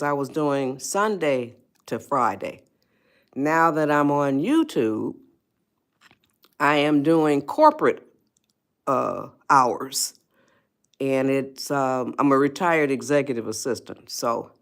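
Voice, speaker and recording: A woman in her eighties hosting a casual livestream, chatting slowly and greeting viewers by name. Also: rumbling low. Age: 80s. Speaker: livestream host. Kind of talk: casual livestream advice talk.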